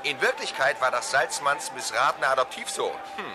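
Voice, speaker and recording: A man's voice: medium pitch, very animated, brisk, music in the background, lightly acted. An actor playing a dutiful, slightly needling police assistant reporting to his chief in a radio drama. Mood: smug reporting. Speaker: police subordinate character.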